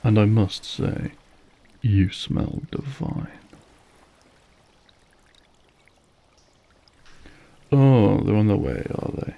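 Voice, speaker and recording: Here is a suave vampire lord, acted by a man, with a dark, mellow voice that creaks at the edges. He toys with his captive in formal, mocking phrases, drawing out every threat with silky menace in a scripted audio drama. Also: lowish voice, slow, heavily acted. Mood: menacing, playful. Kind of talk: scripted audio roleplay acting.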